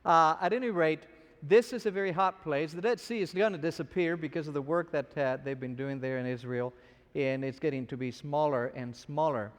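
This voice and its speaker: A man's voice: fairly high pitch, brisk, long reverb tail. A man from Argentina, a scholar guest, telling chatty stories with frequent restarts. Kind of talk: anecdotal lecture.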